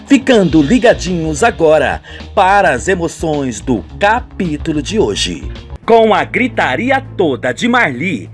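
A man's voice: high voice, a bit bright. A man narrating a radio soap opera in a theatrical, colloquial storytelling manner.

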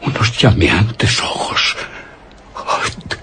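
A man's voice: lowish voice, sing-song, extremely slow, creaky, music in the background, exaggerated voice. An actor with a Castilian Spanish accent playing a nervous man in a gloomy haunted castle, muttering theatrically in a radio horror drama. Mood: hushed dread. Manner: theatrical, muttering tensely to himself.

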